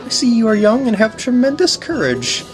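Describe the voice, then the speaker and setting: Commentator playing eerie video-game mask merchant: courteous, pleading, theatrical, swooping pitch, music behind. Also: sing-song, moderate pace, close to an everyday voice. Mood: coaxing. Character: eerily polite, pleading travelling mask salesman.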